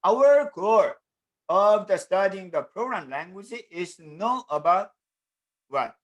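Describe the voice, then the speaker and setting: A middle-aged man from South Korea, Korean by native language, teaching an online programming class in English with a Korean accent. He talks in halting bits, with many fillers and an often repeated "the". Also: sits high, animated, highs cut off. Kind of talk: online class lecture over video call. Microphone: computer mic on a video call.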